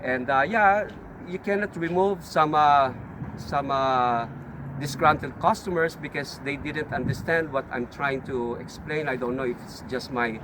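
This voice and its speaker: A middle-aged man with a Filipino accent, owner of an auto shop, talking casually and haltingly with many fillers and restarts as he tours his shop. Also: medium pitch. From Philippines. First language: a Philippine language. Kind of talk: casual shop tour vlog. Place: outdoor auto shop lot. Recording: outdoor street and traffic noise.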